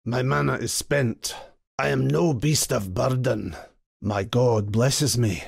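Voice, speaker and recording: A man voicing a devout, grim, righteous old templar in a video game, solemn and preacherly, with a gravelly, husky voice. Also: medium pitch, slow pace, dry recording, clean studio sound, heavily acted. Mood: matter-of-fact. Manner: solemn, pious, archaic preacherly phrasing. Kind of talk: dramatic video game character voice lines.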